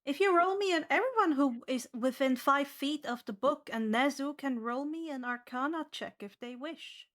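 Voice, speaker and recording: Female game master, high voice, English as a second language, tells the story to her players. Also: normal speed, hardly any pauses, a bit bright. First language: not English. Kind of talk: narrating a tabletop fantasy game.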